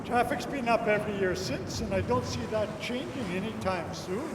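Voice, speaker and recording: A man's voice: high voice, expressive, normal speed. A man playing a plain-spoken, opinionated lighthouse keeper in old-time radio drama, emphatic and folksy. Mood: assured, opinionated. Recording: echoey hall, distant mic, background noise.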